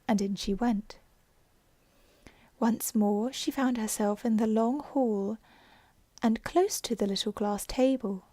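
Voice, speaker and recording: A woman narrating a classic storybook in a soft, unhurried ASMR style, slipping into whispers now and then. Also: fairly high pitch, no room sound. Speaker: audiobook narrator.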